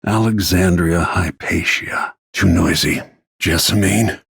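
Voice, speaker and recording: A middle-aged assassin from a video game, grim and bent on revenge. The voice sits deep, with heavy gravel and a very raspy husk, recorded dry. Lines come out terse and brooding, always under control. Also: male voice, takes it slowly, a bit bright, no room sound, full character voice. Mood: grim, determined. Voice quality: gravelly, husky. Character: grim, vengeful middle-aged male assassin bodyguard and protective father.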